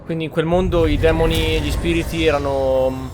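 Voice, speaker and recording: A man's voice: a little high, steady tone, fast talker, noisy background. A man with an Italian accent giving casual roleplay commentary as a gaming streamer.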